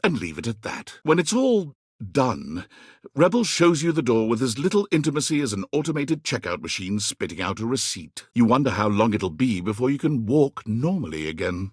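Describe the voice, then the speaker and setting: A man playing a wry, theatrical video game narrator, husky and measured, with a dryly mocking edge. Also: mid-range voice, no room sound, studio quality.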